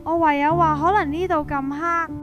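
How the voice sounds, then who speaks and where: A woman's voice: high voice, fairly even intonation, normal speed, dull audio, lightly acted. A woman with a Hong Kong Cantonese accent narrating a scripted first-person ghost story. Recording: prominent music behind.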